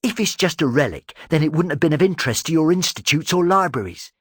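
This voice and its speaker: A man voicing a hot-headed young male ganger who shouts in fury. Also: a little high, expressive, fast talker, husky, no room sound, clean studio sound, pitched above the performer's own voice. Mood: accusing. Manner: shouting, raging.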